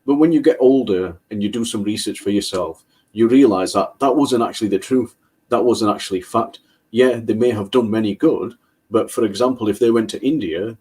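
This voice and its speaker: Husky-voiced man, earnest and measured. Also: fairly low pitch, darkish tone. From Britain. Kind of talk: panel discussion.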